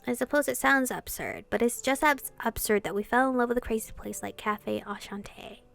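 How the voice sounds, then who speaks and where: A woman's voice: on the high side, fairly quick, gravelly, soft background music. A woman hosting a let's-play, reading visual novel dialogue aloud and reacting theatrically with plenty of swearing. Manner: expressive, foul-mouthed, theatrical reactions.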